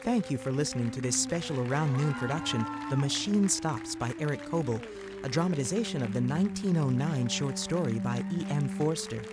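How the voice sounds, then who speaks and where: A man's voice: medium pitch, fairly quick. A man reading a scripted radio announcement as the program's announcer. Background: loud music.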